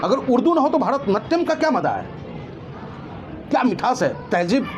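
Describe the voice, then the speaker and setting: A man's voice: very high voice, big pitch swings, normal speed, highs cut off, over music, a crowd in the background. A man giving impassioned opinions as an interview guest, emphatic and indignant, full of rhetorical questions.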